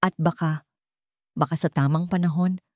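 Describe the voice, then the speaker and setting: A woman playing an older mother in a storybook tale, wounded yet composed, speaking with calm, measured weight. Her voice sits low and close, recorded dry with no room around it. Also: no echo, natural voice. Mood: calm, firm.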